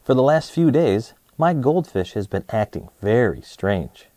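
A man in his thirties presenting and reading a children's storybook aloud in an expressive way, speaking to young listeners. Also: mid-range voice, measured pace, no echo, studio quality. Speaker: host of a children's read-aloud show.

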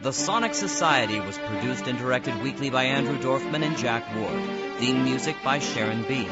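Male radio host over loud music. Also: medium pitch. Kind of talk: scripted radio sign-off. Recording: dull audio under loud music.

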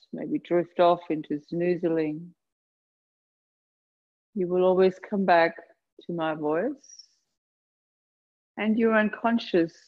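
A woman leading a guided meditation, speaking gently and unhurriedly in short phrases with long pauses between them. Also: lowish voice, phone-quality sound. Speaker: yoga nidra meditation guide. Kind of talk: guided meditation, slow soothing instruction.

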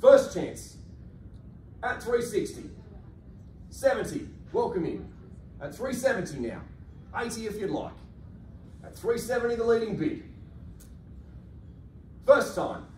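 A man's voice: high voice, lively intonation, a little slow, pauses often, a little mellow. An Australian man working as an auctioneer, calling a live property auction in polished, projected sales patter, recorded across a large function room. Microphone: far camera across the room. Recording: echoey room, distant mic, faint noise.

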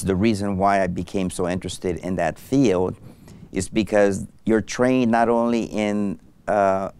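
A man's voice: on the low side, darkish tone, clean tone. A middle-aged man from Mexico, a doctor guest in an interview, speaking thoughtfully with many fillers and restarts on a lapel mic in a studio set. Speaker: anesthesiologist guest. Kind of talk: interview conversation.

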